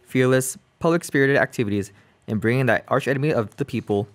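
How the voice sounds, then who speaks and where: A man's voice: mid-range voice, natural voice. An earnest district attorney, played by a young man with a mid-range voice. He speaks formally and stumbles over a word now and then in a comic radio play. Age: young adult. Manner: formal, halting speech.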